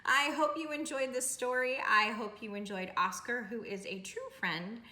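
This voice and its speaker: A woman's voice: a little high, normal speed, pushed and bright. A woman reading a children's picture book aloud as a storytime host, with dramatic delivery and friendly asides to young listeners. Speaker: library storytime reader. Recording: roomy, echoing indoor recording.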